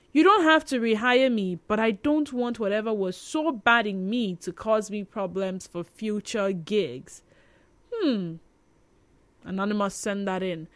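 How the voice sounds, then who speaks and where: High-voiced Nigerian woman radio host. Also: no room sound. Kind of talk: live radio talk, reading listener messages aloud. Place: radio studio.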